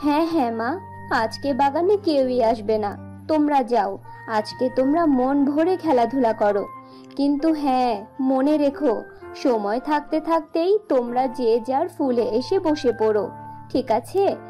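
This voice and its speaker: Protective fairy mother, acted by a woman, high mellow voice, tender, anxious, cartoon, faint music. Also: lively intonation, a little slow. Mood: indulgent, caring. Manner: tender, doting. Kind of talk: children's fairy-tale cartoon dialogue.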